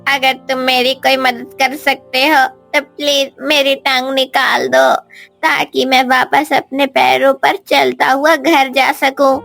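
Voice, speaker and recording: A pleading little monkey from a children's cartoon, acted by a woman. The voice is high and gravelly, whining in slow, drawn-out phrases over background music. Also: flat delivery, a bit bright, heavily acted. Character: male monkey in pain, pleading and grateful. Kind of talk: cartoon storybook voice acting.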